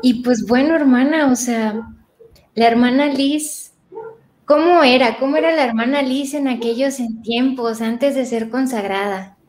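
A woman's voice: sits high, a little slow, darkish tone, slight background noise. A woman hosting a casual interview, asking playful, bubbly questions. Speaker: host.

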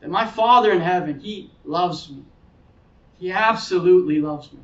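A high-pitched male pastor delivers an earnest, explanatory sermon in a conversational way. He restates key phrases to drive them home. The sound is slightly dull and reverberant, picked up in a roomy space. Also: moderate pace, recorded from a distance. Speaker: pastor preaching.

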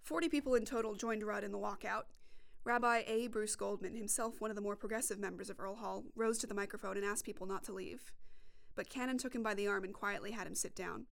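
A woman's voice: mid-range voice, steady tone, fast, gravelly. A young woman reporting and narrating a scripted documentary podcast.

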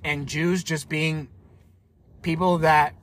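Slow, earnest higher-voiced man. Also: level tone, a bit bright, slight background noise. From Colombia, raised in America. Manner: earnest, repetitive preacherly emphasis. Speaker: podcast host.

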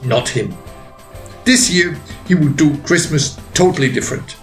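A man narrating a written story in a deliberate, expressive way with pauses, as an author reading his own work. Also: on the high side. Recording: roomy echo, faint music behind.

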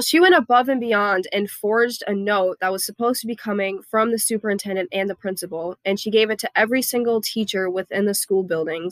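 A woman's voice: medium pitch, a bit bright, no echo, rough recording. A young woman hosting a history podcast, reading her story in a casual manner, with a stuffy nose from a head cold. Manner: casual, read-aloud storytelling with fillers. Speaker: podcast host. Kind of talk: student history podcast narration.

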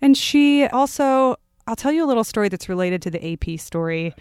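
A woman in her thirties from California, a podcast guest telling stories about her upbringing in a casual, animated way. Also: fairly high pitch, fairly quick, smooth, no room sound, clean studio sound. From Palo Alto, California. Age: 30s. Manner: animated storyteller, acts out quotes. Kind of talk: casual interview storytelling.

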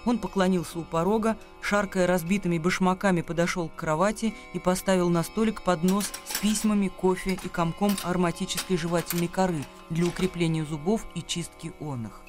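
A man's voice: sits high, fairly even intonation, fairly quick, hardly any pauses, soft background music. A man reading scripted literary narration as the narrator of a radio drama.

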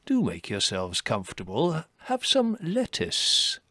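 Guy doing a posh-mannered old boss rabbit from a storybook, drawly, condescending, pitch wandering all over, dry sound. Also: huge pitch swings, unhurried, pushed and bright, no room sound, full character voice. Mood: gracious, vague. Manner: drawling, over-polite, unruffled, with musing repetitions. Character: aging male chief rabbit, urbane, detached, condescendingly polite.

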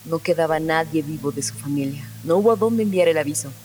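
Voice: medium pitch, quick pace, darkish tone, slight background noise, close to an everyday voice. An actor playing a bitter, relentless officer interrogating suspects in a Spanish audio drama. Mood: grim, bitter.

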